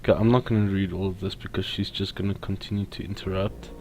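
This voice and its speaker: A man's voice: deep voice, little pitch movement, quick pace, darkish tone. A man giving casual let's play commentary, reading text aloud and stumbling over technical words. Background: faint video game music.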